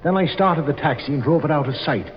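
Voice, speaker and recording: An actor playing a loyal, superstitious sidekick to a detective in a 1940s radio drama. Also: male voice, a little high, a little fast, natural voice. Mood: eager, uneasy. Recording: muffled, reverberant old radio, faint noise.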